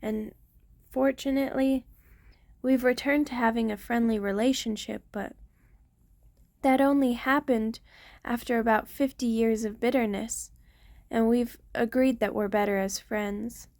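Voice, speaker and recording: A woman playing an ancient, protective vampire queen in an intimate ASMR roleplay, speaking tenderly and softly to her lover in formal wording. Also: fairly high pitch, steady tone, a little slow, dry recording. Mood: wistful.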